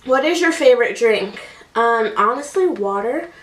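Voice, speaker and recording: A woman's voice: fairly high pitch, lively intonation, normal speed, slight background noise. A woman in her twenties telling a story in a rambling, casual way, filled with "like" and "um", as she does her makeup. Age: 20s. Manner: chatty, rambling, many "like"s and fillers. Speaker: beauty vlogger. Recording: echoey room, voice a bit distant.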